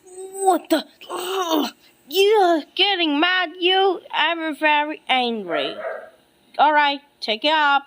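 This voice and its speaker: A woman voicing an excitable, easily angered cartoon villain with exclamatory, dramatic delivery in an amateur animation. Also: high-pitched, slow pace, slight background noise, exaggerated voice. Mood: angry, combative.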